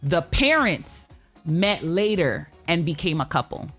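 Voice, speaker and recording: Female co-host, slightly low register, emphatic and opinionated casual debate, light music underneath. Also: big pitch swings, measured pace, fairly bright tone. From Guyana or of Guyanese family. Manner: emphatic, opinionated, repeats points firmly. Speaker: talk show co-host. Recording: music playing behind the talk.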